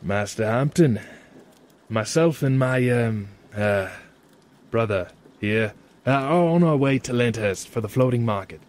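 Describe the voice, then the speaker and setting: Man acting a slow-talking, exasperated fantasy traveler. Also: medium pitch, animated, a little mellow, close to an everyday voice. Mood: polite, hesitant. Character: weary, polite, easily exasperated male fantasy traveler. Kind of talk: fantasy audio drama acting.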